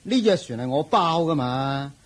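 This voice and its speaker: An actor playing a wily, boastful self-made rich man in a Hong Kong Cantonese radio drama, with a rough husky voice. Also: a little high, expressive, normal speed, close to an everyday voice. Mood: sly, confident.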